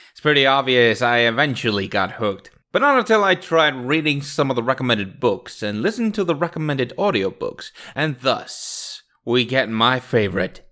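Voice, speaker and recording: A man with an average-pitched voice presents informal scripted commentary as a reviewer. His delivery is wry and joking. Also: very animated, normal speed, pushed and bright. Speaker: online video host, reviewer.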